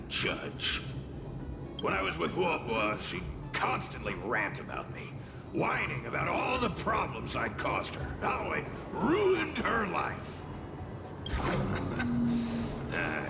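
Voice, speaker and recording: A grumbling, cocky fire spirit for a game. The voice is gravelly and drawling, swinging wildly in pitch, inside a long reverb. The delivery is theatrical and proud. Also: male voice, huge pitch swings, slow, heavily acted. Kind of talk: video game voice acting. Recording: long reverb, loud music behind.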